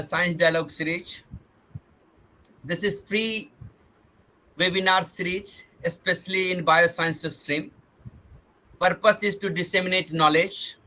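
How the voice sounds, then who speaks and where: A man hosts an online lecture series over a video call. His voice sits high and bright, with a crisp, forceful edge. He talks earnestly, restating his points in slightly different words. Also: low-quality recording. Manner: earnest, repeats and rephrases himself. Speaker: webinar host. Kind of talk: formal online introduction.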